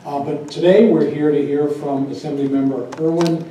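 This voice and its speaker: Mellow-voiced man welcoming guests in a boomy hall. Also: steady tone, moderate pace, a little hiss or hum. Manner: hesitant, with many filler sounds and a dry joke. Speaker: university official welcoming guests. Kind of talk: formal welcome remarks. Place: university event hall. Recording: echoey hall, distant mic.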